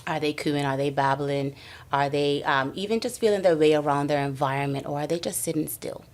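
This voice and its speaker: A woman's voice: low voice, fairly quick. A woman speech-language pathologist explaining the early signs of speech delay as a podcast guest, walking through them with questions. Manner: walks through steps with questions.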